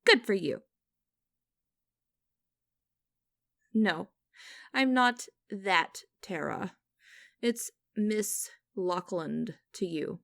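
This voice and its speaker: A woman playing a stern, mocking young company boss in a scripted audio roleplay, speaking in a formal, deliberate and condescending way. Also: mid-range voice, animated, vocal fry, a bit bright, no room sound, exaggerated voice. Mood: mocking, smug.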